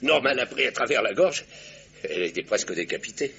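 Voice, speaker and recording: An actor in an old radio drama playing a shrewd doctor who investigates crimes, calm and ironic as he explains his deductions. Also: mid-range voice, fairly quick, gravelly, slight background noise. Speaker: amateur detective doctor. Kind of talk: 1960s French radio drama acting. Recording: dull old radio broadcast recording.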